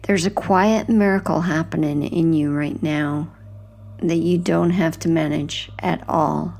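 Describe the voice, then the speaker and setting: A woman guiding a sleep hypnosis in a gentle, hushed, soothing scripted narration, unhurried, with phrases that trail off softly. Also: deep voice, fairly even intonation, vocal fry.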